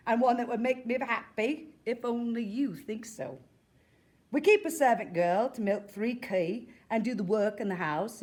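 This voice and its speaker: A woman's voice: medium pitch, natural voice. A woman voicing a timid, earnest middle-aged farmer, hesitant and humble, in a staged comic letter reading. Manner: hesitant, humble, plain-spoken rustic.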